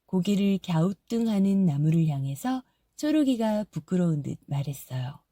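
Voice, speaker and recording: Soft, husky woman reading a fairy tale. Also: fairly low pitch, sing-song, normal speed, no echo, clean studio sound. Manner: soft, gentle storytelling. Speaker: audiobook narrator. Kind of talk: scripted storybook narration.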